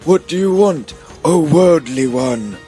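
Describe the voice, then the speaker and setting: A man playing a solemn, absurdly earnest wizard guide in a comic radio play, with portentous, dramatic pauses. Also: sits high, expressive, gravelly, music in the background, exaggerated voice. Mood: solemn, reverent.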